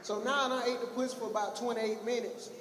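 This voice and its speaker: Young male stand-up, high-voiced and loud, crude crowd work on a handheld mic, echoey theater with faint audience noise. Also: steady tone, quick pace. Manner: brash, profane, shouting at the crowd. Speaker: stand-up comedian. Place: large theater stage with an audience.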